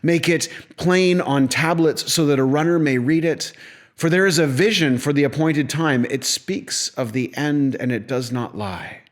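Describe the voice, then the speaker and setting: Slow-paced man reading scripture solemnly. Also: on the high side. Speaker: audio Bible reader.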